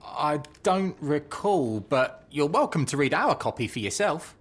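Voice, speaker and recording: An actor playing a timid, apologetic, lovelorn bookseller in a video game, meek and hesitant with a husky voice. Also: male voice, a little high, sing-song, a little slow, full character voice. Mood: flustered. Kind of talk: video game cutscene acting.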